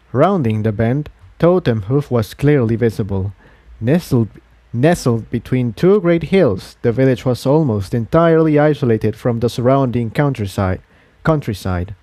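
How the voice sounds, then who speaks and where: Male narrator, mellow tone, medium pitch, dry close sound, scripted story reading. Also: dry recording. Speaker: fan fiction narrator.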